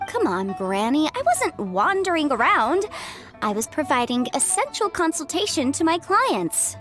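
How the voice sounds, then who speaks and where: A woman's voice: high-pitched, big pitch swings, moderate pace, music in the background. An actress voicing a breezy, self-assured young woman consultant in a video game, articulate and playfully defensive.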